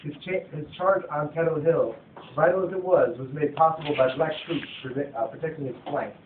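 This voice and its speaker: A man's voice: on the high side, a little fast. Distant, tinny young man reading narration. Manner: stumbles over words while reading. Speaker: narrator of a student puppet show. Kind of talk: scripted narration read aloud. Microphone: phone from across the room. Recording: tinny phone recording, distant, echoey, noisy.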